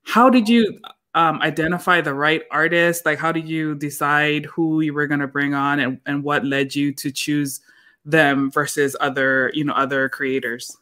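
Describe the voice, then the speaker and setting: A man's voice: fairly high pitch, steady tone, normal speed, pushed and bright. A man interviewing a guest, asking questions in a conversational, hesitant way.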